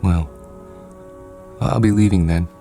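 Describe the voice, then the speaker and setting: A lonely, timid man in a radio drama. His voice is on the low side, and he speaks politely and haltingly. He stumbles and repeats words like someone easily flustered. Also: mellow, soft background music. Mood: shy, polite. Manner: hesitant, stammering, polite.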